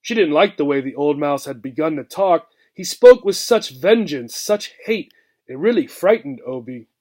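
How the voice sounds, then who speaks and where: A man reading a children's book aloud with expressive narration. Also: fairly high pitch, moderate pace.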